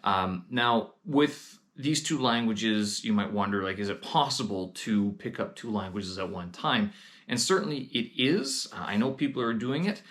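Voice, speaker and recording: A man's voice: fairly low pitch, normal speed, fairly bright tone. A man hosting his own vlog, talking casually and hesitantly with frequent "uh" fillers and restarts. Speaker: vlog host. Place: lives in Beijing.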